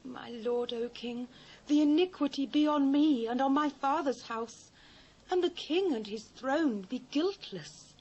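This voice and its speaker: Mourning widow, British, high voice, humble, pleading, archaic Bible drama, dull cassette sound. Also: female voice, a little slow, darkish tone. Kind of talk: dramatised Bible acting, formal archaic English. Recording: dull old cassette transfer.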